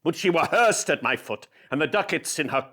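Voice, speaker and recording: A raging, grief-stricken old moneylender, played by a man in a one-man stage show, bellowing anguished verse. His voice climbs high and swings wildly as he declaims. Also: lively intonation, brisk, sharp tone.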